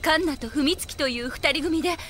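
A woman's voice: sits high, a little fast, fairly bright tone, a little hiss or hum. A woman playing a motherly young anime character who scolds, in an audio drama. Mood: earnest.

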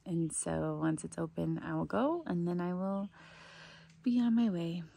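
A woman's voice: lowish voice, measured pace, vocal fry, warm tone, slightly rough. A young woman talking in a chatty, informal, rambling way, like a casual video diary recorded on a phone out in the woods. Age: young adult. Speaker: vlogger hiking a long trail. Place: outdoors in woodland. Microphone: phone at arm's length. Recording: faint outdoor ambient noise.

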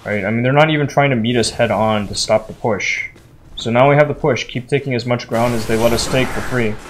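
A man's voice: medium pitch, fairly quick. A man talking casually and tactically during an online shooter, instructing his teammates as he streams. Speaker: gamer, streamer and team shot-caller. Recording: clearly noisy game stream audio, clicks.

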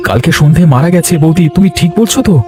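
A man voicing an excitable village husband in a cartoon, exclamatory and emphatic. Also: a little high, very fast, music in the background, lightly acted. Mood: alarmed, questioning. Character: village husband and nephew, excitable, easily alarmed. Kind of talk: Bengali animated ghost-story voice acting.

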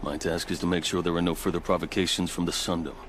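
Male actor as a deep-voiced diplomatic captain for a game cutscene, formal, measured. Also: little pitch movement, slight background noise, close to an everyday voice. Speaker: game character. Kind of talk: video game cutscene dialogue.

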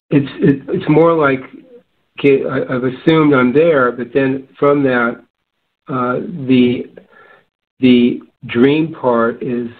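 A man in casual spiritual discussion, talking in a halting, searching way and often rephrasing himself. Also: mid-range voice, phone-quality sound. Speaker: discussion panelist.

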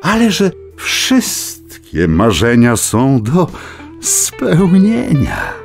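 Wildly expressive storyteller. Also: male voice, medium pitch, gravelly, soft background music, full character voice. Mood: wonder, storytelling. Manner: dramatic, savoring delivery with pauses. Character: whimsical male storyteller telling a children's fable. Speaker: storyteller narrator. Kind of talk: theatrical children's audio tale narration.

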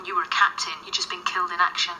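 A woman acting in a radio drama as a brisk, wry agent who investigates the paranormal, dry and businesslike. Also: on the low side, little pitch movement, sharp tone, low-quality recording. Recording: faint background noise and ambience.

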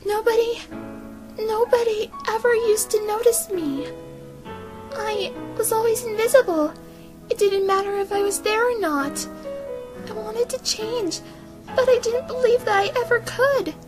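A woman's voice: very high voice, a little slow, over music, low-quality recording. A woman voicing a timid, lonely teenage schoolgirl in an amateur manga fandub, hesitant, stammering and earnest. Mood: earnest, wistful. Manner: hesitant, stammering, soft-hearted.

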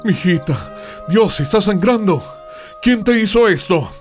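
A man's voice: sits high, lively intonation, a little slow, soft background music. An actor playing a distraught, protective middle-aged father in a radio soap opera. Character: distraught, protective father searching for his daughter.

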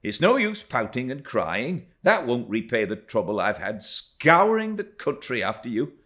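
A man's voice: medium pitch, very animated, a little slow, a bit bright, voice pushed up. Man as an emphatic fretful housekeeper woman. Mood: stern scolding. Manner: emphatic, reproachful. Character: anxious, scolding woman housekeeper, stern but fond.

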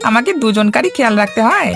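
A man voicing a cheeky, wheedling servant of a village landlord in an animated story. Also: very high-pitched, fast talker, vocal fry, exaggerated voice. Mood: teasing. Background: faint background music.

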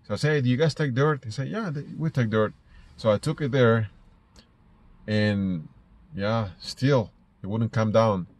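A middle-aged man from Ecuador with an Ecuadorian Spanish accent, telling stories casually to camera in a halting way, repeating words as he goes. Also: mid-range voice. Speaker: small business owner vlogger. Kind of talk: casual personal storytelling to camera. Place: inside a parked car.

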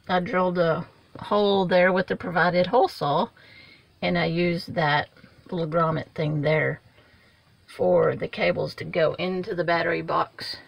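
An older woman giving a plain, unhurried show-and-tell of a project while filming with a handheld phone. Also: lowish voice, vocal fry, no echo. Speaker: vlogger. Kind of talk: casual walkthrough vlog. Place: inside a small truck camper, then on its roof outdoors.

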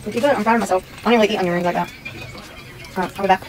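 A chatty young woman talks her way through a recipe in a home kitchen, recorded on a phone. Clear kitchen noise and sizzling sit under her voice. Her pitch sits in an everyday middle range. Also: steady tone, racing. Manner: chatty, talks to camera while cooking. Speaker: cooking vlogger. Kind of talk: casual cooking narration. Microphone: phone held or propped near stove.

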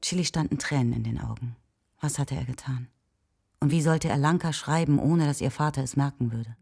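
A woman tells a children's story as narrator of an audio play. Her voice is husky and low for a woman, with faint music behind it. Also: brisk, a little mellow.

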